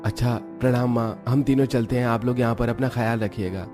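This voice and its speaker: Man as a practical villager, medium-pitched. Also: steady tone, brisk, darkish tone, faint music behind, lightly acted. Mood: sober. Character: village men off to city factory work, friendly, practical.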